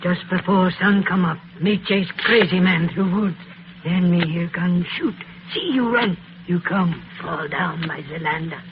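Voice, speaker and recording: An actor in an old radio drama playing a friendly woodland healer who speaks broken pidgin English in short phrases. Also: male voice, sits high, unhurried. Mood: solemn, helpful. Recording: tinny, noisy old radio recording.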